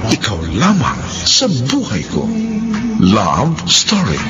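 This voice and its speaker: A man's voice: medium pitch, huge pitch swings, takes it slowly. A man introducing a Filipino radio drama as its narrator, theatrical and inviting. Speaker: narrator, announcer. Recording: muffled, under loud theme music.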